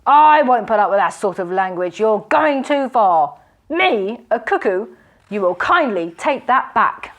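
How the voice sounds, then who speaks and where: A woman's voice: high voice, sing-song, a little fast, few pauses, voice pushed up. A woman voicing a boisterous, blustering male robber with hearty laughter in a storybook reading. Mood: indignant.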